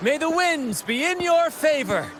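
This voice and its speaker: A man's voice: extremely high pitch, very animated, a little slow, music in the background, exaggerated voice. A man playing a flamboyant, cheerful troupe showman in a video game, with a theatrical, grandiose manner. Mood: cheerful, breezy. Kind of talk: video game character acting.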